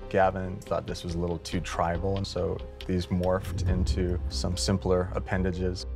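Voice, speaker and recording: Man, very low voice, fry, creature designer, interview style, clear background music. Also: completely flat delivery, moderate pace. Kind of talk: behind-the-scenes interview. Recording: music playing behind the voice.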